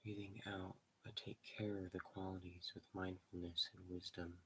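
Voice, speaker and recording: A man leading a guided meditation in slow, measured short phrases with pauses between them. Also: sits low, little pitch movement, pushed and bright, slight background noise, rough recording.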